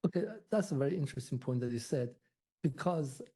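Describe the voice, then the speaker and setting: A man with an Iranian accent and a very dark, mellow voice discusses politics off the cuff. His pitch is medium, and he speaks haltingly, repeating words as he searches. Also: breathy edge. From Iran. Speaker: interview guest, political commentator.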